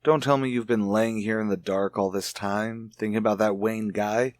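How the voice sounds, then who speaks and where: A man's voice: on the low side, steady tone, normal speed, no echo, studio quality, close to an everyday voice. A man acting as an easygoing, wry married amateur sleuth in a radio mystery drama. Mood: drowsy, teasing. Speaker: actor.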